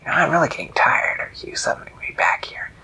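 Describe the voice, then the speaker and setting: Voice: fast talker, pushed and bright, lightly acted, poor audio. Storyteller doing a smug whispery jackal trickster. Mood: teasing. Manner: smug, teasing.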